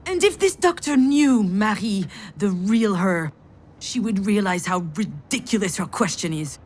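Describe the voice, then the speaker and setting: Actress as overwhelmed sardonic daughter, female, very lively intonation, dramatic game monologue, faint background noise. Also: medium pitch, a little slow, close to an everyday voice. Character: bitter, sardonic, overwhelmed woman screenwriter, troubled daughter. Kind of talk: visual novel voice acting with inner monologue.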